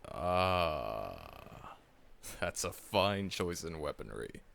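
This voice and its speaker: A man playing a gravelly veteran hunter. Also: very deep voice, sing-song, drawn-out words, heavily acted. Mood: friendly. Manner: chuckling often, warm, teasing address to listener. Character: veteran male monster hunter, seasoned, friendly. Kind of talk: audio roleplay.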